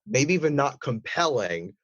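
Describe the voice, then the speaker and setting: A man's voice: mid-range voice, sing-song, moderate pace, fairly bright tone, no echo, muffled recording. A man chatting casually as a podcast guest and voice actor. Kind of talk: casual chat.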